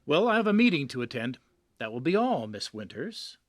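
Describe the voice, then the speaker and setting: An actor playing a persuasive, dismissive magazine boss in an audio drama, brisk and patronizing as he coaxes. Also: mid-range voice, very animated, a little hiss or hum, natural voice. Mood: coaxing.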